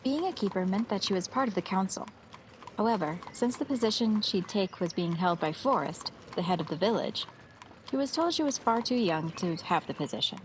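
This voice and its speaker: A woman's voice: medium pitch, lively intonation, brisk, a little hiss or hum, low-quality recording. Woman narrating fantasy with faint ambience behind. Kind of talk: scripted fantasy story narration.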